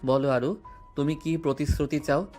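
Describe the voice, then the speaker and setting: A man acting a tender, earnest lover in a romantic audio drama, with soft music behind. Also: on the high side, steady tone, normal speed. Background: soft background music.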